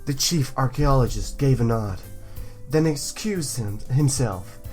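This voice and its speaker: A woman's voice: very deep voice, lively intonation, a little slow, darkish tone, faint music behind. A woman hosting a let's play, reading a visual novel aloud with playful commentary.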